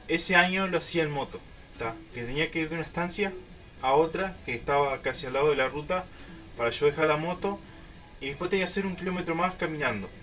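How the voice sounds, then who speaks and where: A man's voice: on the high side, a bit bright. Young Uruguayan man, slightly distant mic. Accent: Uruguayan Spanish. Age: young adult. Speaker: YouTube storyteller. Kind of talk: casual storytelling to camera. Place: small room at home.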